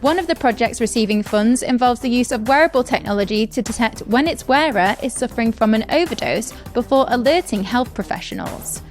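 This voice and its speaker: A woman's voice: a little high, lively intonation, a little fast, hardly any pauses, faint music behind. A woman presenting scripted news as a podcast host.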